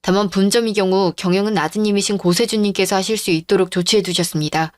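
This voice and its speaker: A woman voicing a calm female lawyer in a radio drama, speaking in a formal, businesslike way. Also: medium pitch, quick pace, no echo, natural voice. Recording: dry studio voice-over with faint music.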